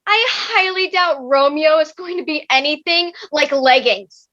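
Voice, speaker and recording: Casting: headstrong teen girl played by a woman, piercingly high, unhurried, mocking, stage comedy, dry lo-fi sound. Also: a bit bright, no echo. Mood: defiant. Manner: petulant, mocking, dramatic. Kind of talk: comic stage play reading. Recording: slightly dull, dry close recording.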